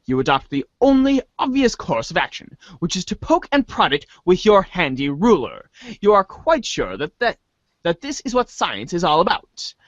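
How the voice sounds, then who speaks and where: A man's voice: high-pitched, big pitch swings, normal speed, dry recording, muffled recording. A man giving a theatrical dramatic reading of scripted narration, now and then stumbling and rereading words. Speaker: voice reader in a webcomic reader's theater.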